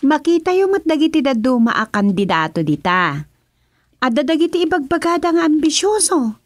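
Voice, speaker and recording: An actress playing a practical village wife in a comedic radio drama, scolding and down-to-earth. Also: female voice, high voice, big pitch swings, moderate pace, no room sound.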